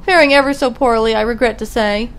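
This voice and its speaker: A woman's voice: a little high, fairly even intonation, fast talker, lightly acted. A woman reading as a wistful elder sister. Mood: sorrowful. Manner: reads rhyming game dialogue aloud, lilting. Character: gentle kidnapped older sister girl, wistful.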